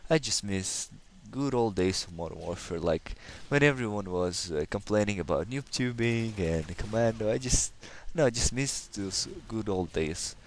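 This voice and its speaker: Guy from kazakhstan, russian is his first language, slight accent, low voice, rambly game commentary. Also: expressive, normal speed, hardly any pauses, a little hiss or hum. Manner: rambling and hesitant, many fillers. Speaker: gaming channel host. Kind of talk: casual gameplay commentary.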